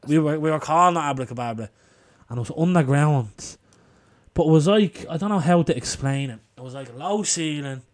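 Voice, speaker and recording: A man in his twenties with an Irish accent, a bit hoarse, co-hosting a podcast and telling rambling, sweary stories right up close to the mic. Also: fairly high pitch, fairly quick. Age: 20s. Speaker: podcast co-host. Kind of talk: casual chat.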